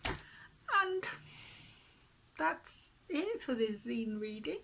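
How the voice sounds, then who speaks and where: A person whose first language is Finnish, reading their own zine aloud in a casual, soft-spoken way that often drops to a half whisper. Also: female voice, high-pitched, very animated, extremely slow, vocal fry, slight background noise. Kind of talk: casual reading at a virtual open mic.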